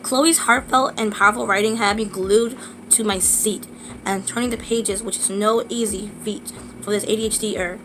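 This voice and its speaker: A woman with a sore throat, reading a book aloud slowly in a casual vlog, with halting restarts and asides. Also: medium pitch, pushed and bright, slight background noise, low-quality recording.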